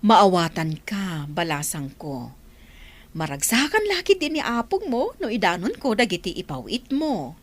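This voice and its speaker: A woman's voice: mid-range voice, wildly swooping pitch, measured pace. A woman playing a kindly older village aunt who carries messages in a dramatised story.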